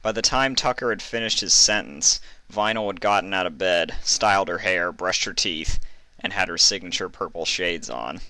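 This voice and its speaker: A man's voice: sits low, moderate pace, sharp tone, dry recording, low-quality recording. A man reading a story aloud as narrator of fan fiction, in scripted narration.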